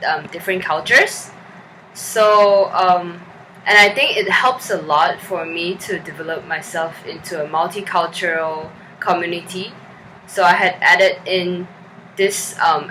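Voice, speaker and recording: A woman's voice: on the low side, sharp tone, slight background noise, rough recording. A young woman whose first language is Chinese, speaking to viewers as a documentary director. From Chinese-speaking background. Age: young adult. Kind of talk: talk to camera.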